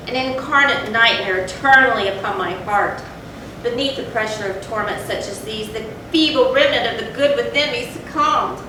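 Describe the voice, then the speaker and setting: Woman as a tormented gothic narrator, echoey and distant. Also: natural voice. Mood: anguished. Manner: theatrical, deliberate, emphatic. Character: guilt-tormented narrator of a gothic horror tale. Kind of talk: dramatic readers-theatre recitation of a gothic story. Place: live theatre stage. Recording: very echoey stage, distant mic, noisy rumble.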